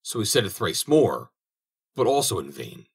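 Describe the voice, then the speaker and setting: A man narrating a fairy tale in a measured audiobook reading. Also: lowish voice, sing-song, vocal fry, breathy edge. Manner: measured storytelling.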